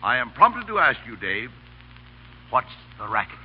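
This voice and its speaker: An actor playing a pompous, florid fake judge, grandiloquent and full of dignified hemming, in a 1930s radio comedy. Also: male voice, mid-range voice, sing-song, normal speed, slightly rough. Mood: self-important. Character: pompous, florid male con man posing as judge. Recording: muffled, hissy, reverberant 1930s radio broadcast.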